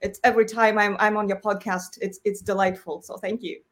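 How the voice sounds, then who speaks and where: A woman's voice: medium pitch, little pitch movement, brisk. A woman from Russia, a guest on a podcast, talking and laughing easily. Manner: warm, talkative, laughs easily. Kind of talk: podcast conversation.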